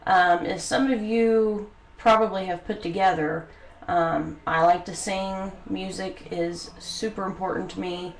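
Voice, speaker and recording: A woman's voice: fairly low pitch, fairly even intonation, a little slow, creaky. A young woman with a head cold, sniffling, talking earnestly to camera in a home video with many hesitant ums. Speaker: vlogger. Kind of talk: personal talk to camera. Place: bedroom home studio. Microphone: studio mic. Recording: echoey room, faint background noise.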